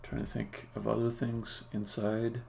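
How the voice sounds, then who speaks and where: Gravelly-voiced man thinking aloud while coding. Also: mid-range voice, completely flat delivery. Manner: halting, muttering to himself. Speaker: game developer live-streaming. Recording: faint background noise. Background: computer keyboard typing and clicking.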